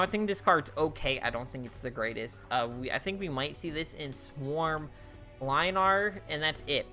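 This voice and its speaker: A man's voice: on the high side, sharp tone. A young man casually reviewing cards for a card game, thinking aloud with many fillers and restarts, his voice getting worn. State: losing his voice.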